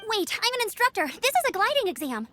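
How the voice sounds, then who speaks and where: A peppy young knight instructor in an anime game. She sounds very high and bright, with lively swings in pitch and an earnest, eager manner over music. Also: quick pace, exaggerated voice. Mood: surprised, eager. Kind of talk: anime-style video game voice acting. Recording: music behind the voice.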